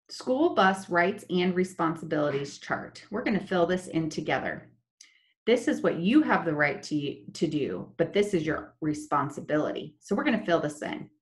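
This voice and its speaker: Slow sing-song woman teacher. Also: fairly low pitch. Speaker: special education teacher. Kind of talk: online lesson with screen share. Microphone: laptop webcam, video call.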